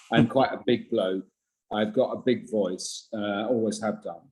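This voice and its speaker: Husky older actor, rambling. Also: male voice, on the low side, fairly even intonation, measured pace, mellow, low-quality recording. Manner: rambling, many restarts and fillers. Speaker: actor, podcast guest. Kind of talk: reflective interview chat.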